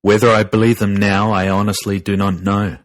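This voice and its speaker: A man with a deep voice narrates a horror tale. His delivery is dramatic, deliberate and grave, full of foreboding. The sound is close and dry, like a studio audiobook. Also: little pitch movement, no room sound. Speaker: narrator and podcast host.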